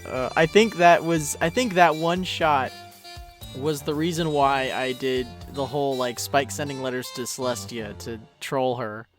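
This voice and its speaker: A man's voice: medium pitch, steady tone, a little slow. A man giving casual, chatty behind-the-scenes commentary on an episode as the creator of the series. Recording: music and show dialogue behind.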